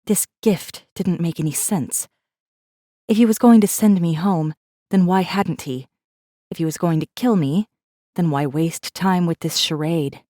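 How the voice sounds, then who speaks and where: A woman's voice: on the low side, a little slow, warm tone, dry recording, clean studio sound, close to an everyday voice. A woman playing a defiant, bitter captive rebel assassin who narrates her own story in an intense, brooding way. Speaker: audiobook narrator. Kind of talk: first-person dramatic narration.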